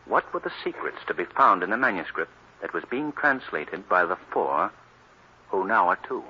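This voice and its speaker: A man's voice: deep voice, very animated, normal speed, slightly rough, exaggerated voice. An actor playing an earnest, resolute male crime fighter in a 1940s-style radio drama, with an emphatic, dramatic delivery. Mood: serious, thoughtful. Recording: noisy, dull old radio recording.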